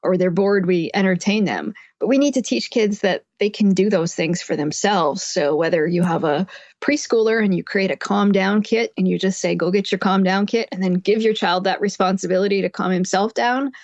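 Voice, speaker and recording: A woman's voice: medium pitch, moderate pace, darkish tone, dry recording, lo-fi sound. A woman guest expert giving conversational interview advice, explaining things through everyday examples. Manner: explanatory, uses everyday examples and imagined quotes. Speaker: guest expert, psychotherapist and author.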